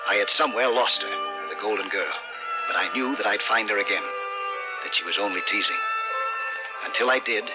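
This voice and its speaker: A man's voice: on the low side, muffled recording, close to an everyday voice. An actor playing a brooding, dreamy, obsessed skin diver who narrates his own story in an old radio suspense style, musing and dramatic, repeating phrases. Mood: dreamy, euphoric. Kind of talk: 1950s radio suspense acting, first-person narration. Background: dramatic music.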